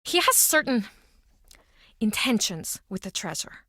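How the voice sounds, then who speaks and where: Guarded, bright-voiced woman pub owner. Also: a little high, very animated, unhurried, no echo, studio quality, full character voice. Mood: brooding. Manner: curt, brooding, dramatic. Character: guarded, bitter female pub owner in a small town. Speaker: game character. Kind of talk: video game voice acting.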